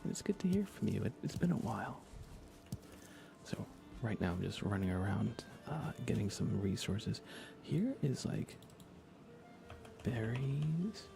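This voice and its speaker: A man hosting an ASMR gaming livestream, speaking in a breathy, hushed, reassuring whisper with some vocal fry. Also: on the low side, sing-song, a little slow, pauses often, music in the background. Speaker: livestream host.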